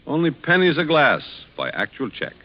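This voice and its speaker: A male spokesman delivers a scripted 1940s radio pitch. He is conversational and persuasive, with animated intonation. The recording sounds dull and dated. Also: moderate pace. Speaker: commercial spokesman.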